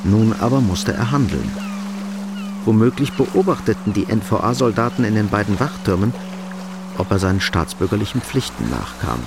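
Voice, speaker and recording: A man's voice: on the low side, lively intonation, moderate pace, gravelly, over music. A man reading scripted literary narration for a radio drama.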